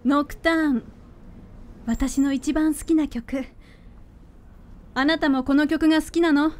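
A woman's voice: high-pitched, steady tone, unhurried. A woman playing a polite, frank young music student in a radio drama, composed and direct. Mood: gentle. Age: young adult.

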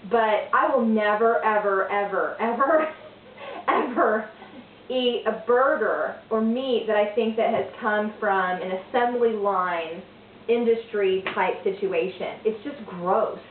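A woman's voice: mid-range voice, slow pace, a little hiss or hum. A woman in her forties chatting casually through a cooking demo in her home kitchen, rambling and correcting herself as she moves around. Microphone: far camera. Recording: echoey, distant, tinny phone-like sound.

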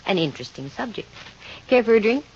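A woman's voice: medium pitch, sing-song, fairly quick, breathy edge. An actress playing a witty, flirtatious female private detective in a 1950s radio drama, with a dry, wisecracking delivery. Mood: teasing. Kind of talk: 1950s radio drama acting and first-person narration. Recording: muffled, noisy 1950s radio recording.

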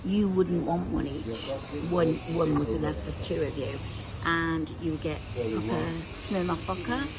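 A woman's voice: low-pitched, a little slow. An older woman presenting a casual travel vlog outdoors, chatty and enthusiastic, recorded on a handheld phone. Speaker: travel vlogger. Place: outdoors in a sunny town and cafe terrace. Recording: outdoor phone recording with loud background noise.

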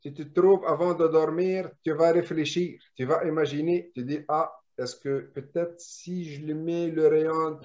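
A man whose first language is Arabic explains drafting on a video call, restarting and repeating words as he goes. His voice sits a little high. The call audio is muffled and lo-fi. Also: fairly even intonation, measured pace, hardly any pauses, a little mellow. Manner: explanatory, many repetitions and restarts. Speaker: industrial draftsman guest. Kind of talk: casual video call discussion.

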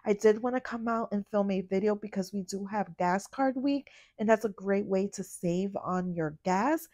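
A young woman with a raspy, fading voice after a cold, giving a casual voiceover for her couponing shopping vlog. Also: medium pitch, normal speed, darkish tone, no room sound, clean studio sound. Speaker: couponing vlogger. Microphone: voiceover mic.

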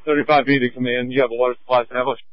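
A man's voice: medium pitch, level tone, racing, muffled recording, very noisy. A man giving clipped firefighter reports over a two-way radio.

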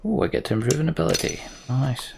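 A man with a very creaky, gravelly voice at an ordinary pitch talks casually through a video game. He mutters short fragments as he thinks aloud, with faint noise and clicking behind him. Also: sing-song, quick pace. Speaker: let's play host.

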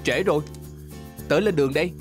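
An actor playing a calm, serious, knowledgeable young dinosaur trainer in a children's cartoon dub. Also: mid-range voice, a little fast, over music, natural voice.

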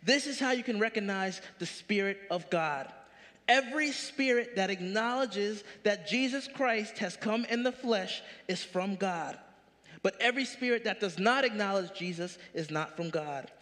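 Pastor, 30s, high-pitched male voice, animated sermon delivery, big reverberant hall. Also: moderate pace. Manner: animated preaching, rising punchy questions. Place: large church stage.

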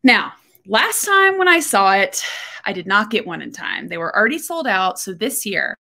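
A young woman from Texas, co-hosting a golf podcast, talking casually and candidly to a webcam from a room at home, with quick joking asides. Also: mid-range voice, very animated, sharp tone. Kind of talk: casual solo talk to camera.

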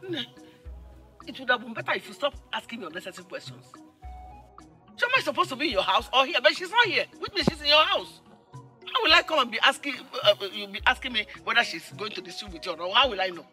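A woman's voice: mid-range voice, animated, very fast, sharp tone, faint music behind. An actress playing an irritable, sarcastic older village mother with a Nigerian accent, scolding in rhetorical questions in a film drama. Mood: exasperated. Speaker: actor in a film. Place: outdoor yard with trees.

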